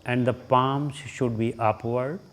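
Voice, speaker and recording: A man with an Indian accent leading a guided relaxation as a yoga teacher, giving short instructions with long pauses between them. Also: medium pitch, fairly even intonation.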